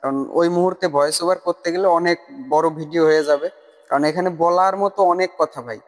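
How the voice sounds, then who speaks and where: High-voiced man, long reverb, casual tutorial voice-over, insistent and repetitive. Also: fairly even intonation, quick pace. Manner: insistent, repetitive, addressing viewers as brother. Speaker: tutorial video creator. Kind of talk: casual explanatory voice-over for a screen recording. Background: voice-over recorded separately from the screen capture.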